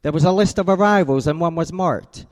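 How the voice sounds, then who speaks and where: An actor playing a tough, wisecracking private eye who talks in terse, sardonic lines and narrates in a hard-boiled style. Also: male voice, on the high side, fairly quick, a little mellow, close to an everyday voice. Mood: narrating.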